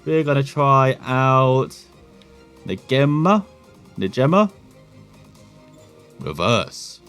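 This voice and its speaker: A man's voice: mid-range voice, sing-song, very slow, a bit bright, smooth, faint music behind. Chatty English man commentating gameplay. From England. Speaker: gaming channel host.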